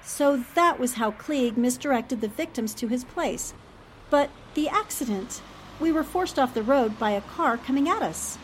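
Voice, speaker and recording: Woman acting an earnest, high-voiced newspaperwoman. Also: lively intonation, moderate pace, slight background noise. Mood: reasoning. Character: earnest, analytical newspaperwoman, shaken but determined. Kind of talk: old-time radio drama acting.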